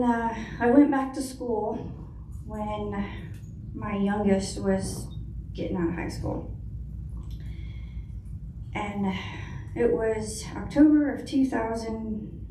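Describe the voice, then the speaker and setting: A middle-aged woman with a soft voice, giving a hesitant personal testimony to an audience. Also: mid-range voice, steady tone, takes it slowly, pauses often. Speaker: guest speaker, foundation founder. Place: indoor conference room. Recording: roomy hall, distant mic, faint noise.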